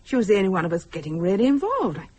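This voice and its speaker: A woman acting in a radio drama as an uneasy, sharp-witted wife, earnest and questioning. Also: medium pitch, very animated, rapid-fire, slightly rough. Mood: reasoning.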